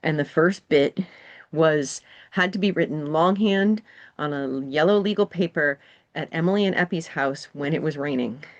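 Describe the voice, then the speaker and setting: Woman, from New England, low voice, rambling storyteller, casual podcast guest. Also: normal speed, dull audio. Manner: rambling storyteller, many fillers. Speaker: guest game designer. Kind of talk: casual conversation.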